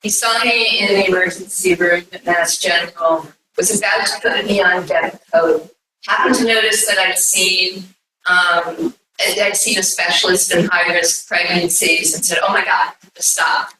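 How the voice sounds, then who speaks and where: A woman's voice: on the low side, steady tone, a little slow, bright, forceful tone, far from the mic. A woman hosting an event, giving an informal welcome speech to an audience. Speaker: event host introducing presenters. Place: echoey indoor conference room. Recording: echoey room, picked up from afar.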